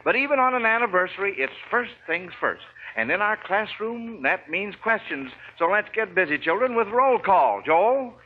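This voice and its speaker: High-pitched American man hosting old radio quiz. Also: very animated, moderate pace, hardly any pauses, breathy edge. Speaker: quiz show host. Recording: dull, noisy 1940s radio recording.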